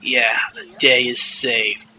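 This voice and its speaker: A man's voice: medium pitch, little pitch movement, slow pace, sharp tone, slight background noise, voice pushed down. A man voicing a boastful, panicky young boy hero in a comedic video game dub, exclaiming and narrating himself dramatically. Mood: sneaky, boastful.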